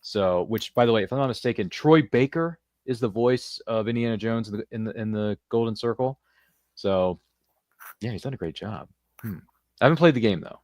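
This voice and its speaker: A man's voice: fairly low pitch, a little fast, darkish tone, dry recording, studio quality. A man hosting a podcast, chatting casually and jokingly, quoting pop culture and reading out viewer comments on a livestream. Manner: jokey, pop-culture quoting, repeats phrases. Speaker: podcast host.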